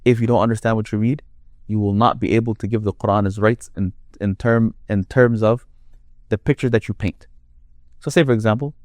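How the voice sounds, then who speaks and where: A man with a deep voice, a Quran reciter explaining his craft in a conversational interview, building his points with long worked examples. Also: a little mellow, no room sound, studio quality.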